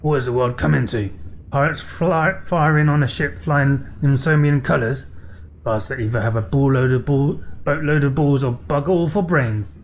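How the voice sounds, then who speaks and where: A man's voice: medium pitch, moderate pace, slight background noise, natural voice. A man voicing a folksy, worldly seafaring merchant from a fantasy game, with salty, colloquial talk. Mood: indignant. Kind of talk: reading game dialogue aloud.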